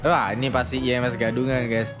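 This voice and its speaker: A man's voice: mid-range voice, little pitch movement, fast talker. A man doing playful, slangy gameplay commentary as a YouTube host. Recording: music behind the voice.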